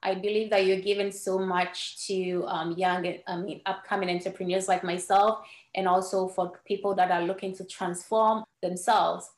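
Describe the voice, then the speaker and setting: A woman from Ghana, a native Ewe speaker, talking as a guest on a podcast. Her mid-pitched voice has an earnest tone and small restarts. The room around her sounds echoey. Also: flat delivery, normal speed, few pauses. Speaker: guest entrepreneur. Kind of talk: podcast interview storytelling.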